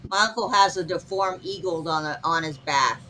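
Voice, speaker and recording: A woman's voice: fairly low pitch, normal speed, bright, forceful tone, slight background noise, rough recording. A woman in her forties chatting casually as co-host, blunt and frank, with some swearing. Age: 40s. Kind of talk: casual chat.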